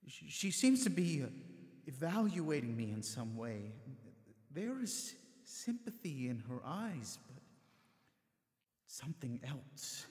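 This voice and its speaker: An actor playing a weary, nervous stage actor who talks hesitantly and stammers. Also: male voice, a little high, very animated, slow, darkish tone, breathy edge, no room sound, clean studio sound, exaggerated voice. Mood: uneasy. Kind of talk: audio drama acting.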